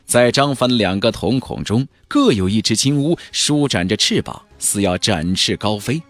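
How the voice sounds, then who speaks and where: A man narrating a fantasy audiobook in a theatrical, expressive storytelling manner. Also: lowish voice, moderate pace, dry recording. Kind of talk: dramatic scripted narration of a fantasy novel. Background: background music.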